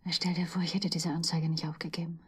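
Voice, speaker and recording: Breathy, giddy woman in love. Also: fairly low pitch, little pitch movement. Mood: wistful, pleading. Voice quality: breathy, often dropping to a whisper. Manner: halting, emotional, swinging between murmur and outburst. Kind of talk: intimate radio drama monologue.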